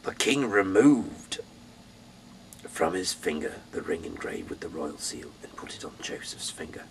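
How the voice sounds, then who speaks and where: Very deep-voiced man reading aloud theatrically. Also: lively intonation, slight background noise, low-quality recording. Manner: theatrical, halting, swinging between emphatic bursts and breathy hushed asides. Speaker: Bible reader, amateur narrator. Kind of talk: dramatic, off-the-cuff reading aloud of scripture.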